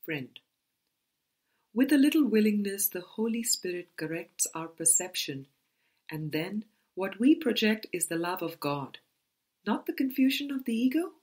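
A woman reading a spiritual book aloud as scripted narration, in a deliberate manner with pauses between phrases. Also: medium pitch, big pitch swings, darkish tone, husky. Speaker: audiobook reader.

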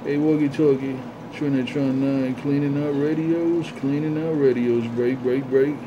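Male CB operator, level pitch, repetitive call-sign patter, clear background noise. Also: fairly even intonation, measured pace. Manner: repetitive, chanting catchphrases. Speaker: CB radio operator. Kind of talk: CB radio chatter with call signs and repeated catchphrases. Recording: noisy, dull CB radio audio.

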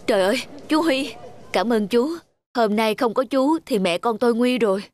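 A woman playing a compassionate young village mother in a cartoon, earnest, expressive and pleading. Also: a little high, moderate pace, lightly acted. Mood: grateful relief. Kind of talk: cartoon voice acting.

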